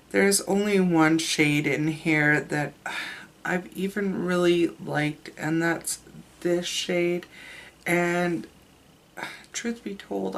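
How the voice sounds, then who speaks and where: Stuffed-up woman chatting slowly and low. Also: vocal fry, slight background noise. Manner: chatty, candid, trails off and restarts. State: stuffed up, sick. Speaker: beauty vlogger. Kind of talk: casual makeup review to camera.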